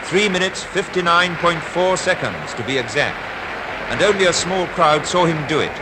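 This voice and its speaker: A man's voice: a little high, lively intonation, moderate pace, over a noisy crowd. A man with a British Received Pronunciation accent delivers formal, dramatic scripted newsreel commentary in a 1950s style. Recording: noisy 1950s archive newsreel soundtrack.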